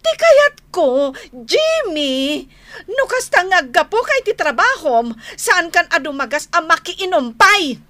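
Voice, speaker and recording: An exasperated Ilocano wife in a radio comedy drama. Her voice is very high and piercing, and she nags emphatically about a lazy husband with an Ilocano accent. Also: very animated, takes it slowly, sharp tone, dry recording, exaggerated voice. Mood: exasperated scolding. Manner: nagging, emphatic, exclaiming.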